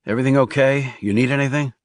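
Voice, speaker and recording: A man's voice: mid-range voice, steady tone, fast, no echo, clean studio sound, voice pushed up, lightly acted. A man voicing a terse, guarded and protective government assassin in audiobook dialogue, serious in manner. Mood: calm.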